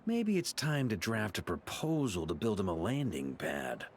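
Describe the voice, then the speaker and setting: A man's voice: lowish voice, very animated, normal speed, slight background noise. A man playing an easygoing, jovial knight grandmaster in a video game, with a breezy, joking, theatrical manner. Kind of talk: scripted video game voice acting.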